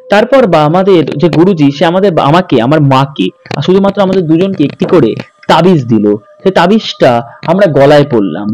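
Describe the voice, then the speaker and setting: A man's voice: fairly high pitch, a little mellow, slight background noise, rough recording. A man narrating in a dramatic, suspenseful ghost-story style as a channel host. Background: background music.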